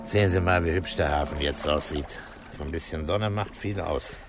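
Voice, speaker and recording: An actor playing a middle-aged police commissioner in a radio crime drama, terse, calm and dryly authoritative. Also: male voice, very deep voice, moderate pace, soft background music. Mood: dry, composed. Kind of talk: 1960s German radio crime drama acting.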